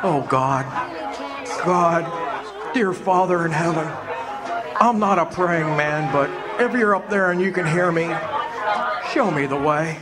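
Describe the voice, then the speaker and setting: A man's voice: on the high side, takes it slowly, pauses often, loud music behind the voice, over a noisy crowd. An actor playing a desperate, distraught family man in a live radio play. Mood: praying. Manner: pleading, agitated. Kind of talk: live radio drama acting.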